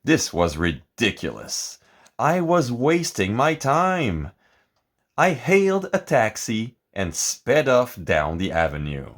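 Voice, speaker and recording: A man reading a picture book aloud in a theatrical, expressive and deliberate way, as scripted narration. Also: mid-range voice. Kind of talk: dramatic scripted storybook narration.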